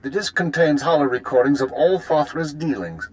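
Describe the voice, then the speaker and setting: A man playing a calculating intelligence spymaster in a video game, speaking in a measured, formal, authoritative way. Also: fairly high pitch, expressive, darkish tone, natural voice, poor audio. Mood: commanding. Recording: music under the voice.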